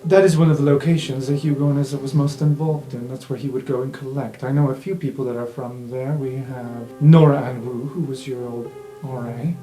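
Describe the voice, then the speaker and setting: Man playing a mellow, knowing fantasy informant. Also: mid-range voice, fairly even intonation, natural voice. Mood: guarded, speculative. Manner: measured, explanatory, theatrical pauses. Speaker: game master. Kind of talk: improvised tabletop roleplay podcast. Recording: roomy sound with guitar music underneath.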